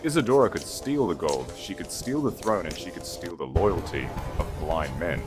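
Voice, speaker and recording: A man's voice: sits low, animated, normal speed. A man voicing a proud, defiant young princess who speaks with regal bitterness in a period-romance audiobook. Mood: solemn, wounded. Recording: voice over loud music.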